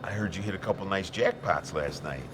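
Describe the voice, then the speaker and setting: A man's voice: sits low, brisk, a bit bright, slight background noise. A man playing an easygoing but frazzled casino boss in a scripted comedy. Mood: breezy.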